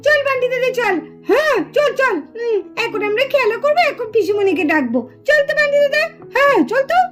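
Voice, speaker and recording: The voice of an eager small child in a doll cartoon, extremely high and quick. The delivery is playful, pleading and excitable, over faint music. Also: female voice. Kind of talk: sing-song children's puppet cartoon acting.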